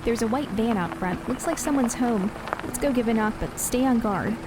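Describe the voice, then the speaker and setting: A woman playing a brisk, sharp-tongued lead police detective in an audio drama, dry and authoritative. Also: on the high side, over music, lightly acted, rough recording. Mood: alert.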